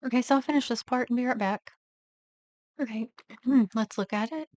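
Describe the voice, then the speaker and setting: A woman vlogger casually narrating her nail art step by step, thinking aloud in a relaxed, unhurried way. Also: a little high, dry recording, studio quality.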